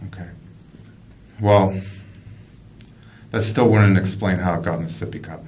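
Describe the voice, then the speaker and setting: An American man working as a police detective, questioning someone in a measured way with repeated, restarted phrases. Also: low-pitched, steady tone, a little mellow. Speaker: police detective conducting an interrogation. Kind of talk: investigative interview. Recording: muffled, noisy police interview room recording.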